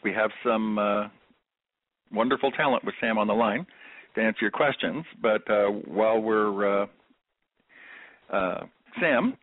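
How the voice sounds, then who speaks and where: A man's voice: on the low side, a little slow, pauses often, dry recording. A man hosting a call-in discussion, chatty, with lots of fillers and restarts. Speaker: host of a call-in webinar. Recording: phone-line audio.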